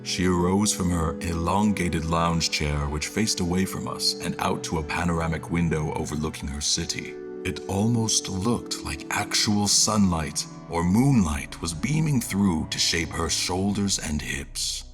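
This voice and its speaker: A man's voice: deep voice, measured pace. A man playing a wry crew member who narrates a scripted fantasy audio drama in ornate, descriptive language. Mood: admiring. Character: male crew member narrating his memories, literary and wry. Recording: prominent music behind. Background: music.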